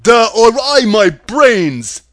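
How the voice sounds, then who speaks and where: A man's voice: high voice, very animated, takes it slowly, bright, forceful tone, pitched above the performer's own voice, exaggerated voice. A man voicing a simple-minded, earnest scarecrow in a storybook, with a dopey, oafish delivery. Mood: grieved. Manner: dopey, starts with "duh".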